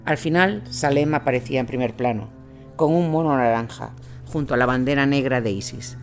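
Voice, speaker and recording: A man narrating a scripted audio drama as a war reporter, in a measured, solemn storytelling manner. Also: mid-range voice, close to an everyday voice. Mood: grave, measured. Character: male war reporter recounting grim events in Iraq. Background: music bed.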